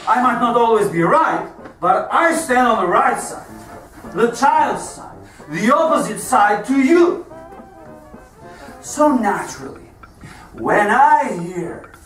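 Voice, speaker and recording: A man's voice: high voice, a bit bright, full character voice. A man playing a volatile, self-righteous police detective in a stage monologue, profane and mocking as he interrogates. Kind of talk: theatrical monologue performance. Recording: roomy, distant mic, music behind.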